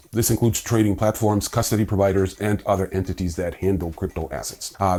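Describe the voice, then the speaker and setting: A man hosting a crypto news explainer, reading scripted material with informal, conversational asides in a husky voice. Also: deep voice, darkish tone. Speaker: host of a crypto news video.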